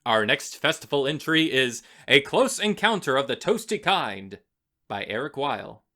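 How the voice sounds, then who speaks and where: A man's voice: mid-range voice, big pitch swings, a little slow, sharp tone. A man hosting a radio drama festival, delivering scripted announcements with theatrical flair. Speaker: radio play festival host.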